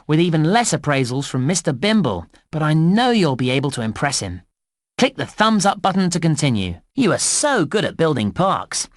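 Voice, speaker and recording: Upbeat kids game park guide, highish voice, super bouncy intonation, encouraging and clearly spoken. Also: male voice, dry recording, clean studio sound. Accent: British. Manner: enthusiastic, clearly enunciated children's presenter delivery. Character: cheerful, encouraging male theme park guide. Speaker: game narrator and tutorial guide. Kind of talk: scripted children's game narration.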